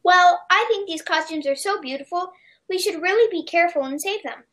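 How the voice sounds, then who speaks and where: A woman's voice: very high-pitched, a little fast, fairly bright tone. A woman playing an earnest young schoolgirl friend in an amateur audio play. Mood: admiring, earnest.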